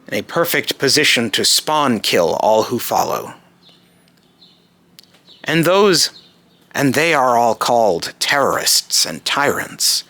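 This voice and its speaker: Man voicing a stern, bright-voiced god judging mortals. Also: mid-range voice, heavily acted. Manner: grave, declamatory, contemptuous. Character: aloof male god Apollo condemning mortal civilization. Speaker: amateur voice actor. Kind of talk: rehearsing scripted monologue lines in retakes.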